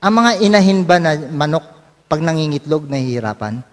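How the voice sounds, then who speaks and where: A man's voice: on the high side, animated, a little fast. A man with a Filipino accent performs a comic stage dialogue as a teacher, questioning insistently and with some exasperation. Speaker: puppeteer comedian playing a teacher. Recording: echoey hall, faint music.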